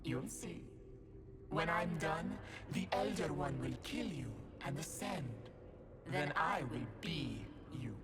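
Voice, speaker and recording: An actor voicing a menacing, gloating envy demon in a video game, taunting slowly and deliberately. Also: mid-range voice, lively intonation, over loud music. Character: menacing, gloating envy demon mimicking other people's voices. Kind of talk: video game villain acting.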